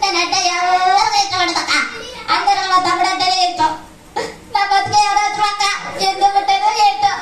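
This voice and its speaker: A performer voicing a sulky, whiny child puppet with plaintive, drawn-out words in a live children's puppet show. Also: extremely high pitch, completely flat delivery. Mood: self-pitying. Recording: noisy echoey hall with loud crowd.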